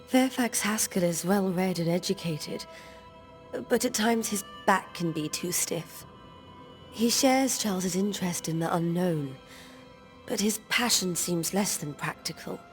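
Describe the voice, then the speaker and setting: An actress playing a grieving, devout colonial wife in a video game, speaking in formal, measured, old-fashioned phrases. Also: female voice, fairly low pitch, soft background music, lightly acted. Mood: composed, wistful. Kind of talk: dramatic video game acting.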